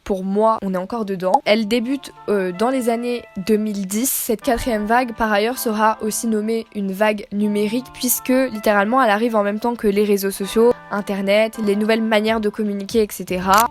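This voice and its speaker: A young woman hosting an explanatory video, talking casually with informal asides and fillers. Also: mid-range voice. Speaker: video creator.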